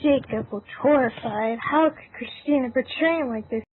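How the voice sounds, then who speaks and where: High-voiced woman narrator, phone-like sound. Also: moderate pace. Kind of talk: scripted narration of amateur fan fiction. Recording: thin phone-like sound with background noise.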